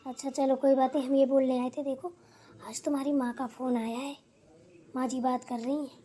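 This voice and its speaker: Woman playing a high-pitched bossy elder sister-in-law. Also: little pitch movement, moderate pace, close to an everyday voice. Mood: matter-of-fact. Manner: hectoring, sharp-tongued, preachy. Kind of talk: Hindi family drama cartoon dialogue.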